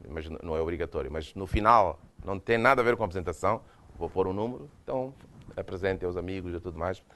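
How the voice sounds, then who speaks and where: Man, Mozambican accent, very low voice, lecture, halting with restarts. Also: a bit bright. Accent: Mozambican Portuguese. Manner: hesitant, frequent restarts and fillers, struggles with the slide controls. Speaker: guest lecturer. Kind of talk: university lecture with slides. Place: lecture hall.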